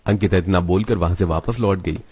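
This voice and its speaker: A man's voice: low-pitched, a little fast, mellow, faint music behind. A man narrating a scripted children's story as the storyteller.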